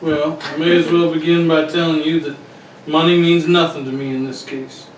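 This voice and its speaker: A man's voice: on the high side, level tone, normal speed, close to an everyday voice. A man playing an arrogant, hot-tempered wealthy tycoon in a radio mystery, blunt, imperious and threatening. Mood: brusque. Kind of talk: 1940s radio mystery acting. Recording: roomy, distant, faintly noisy recording.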